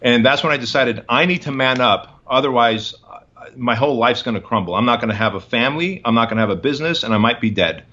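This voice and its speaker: A man's voice: medium pitch, fast, sharp tone, dull audio. A man in his forties, a guest in an interview, telling his story in emphatic, punchy short phrases. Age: 40s.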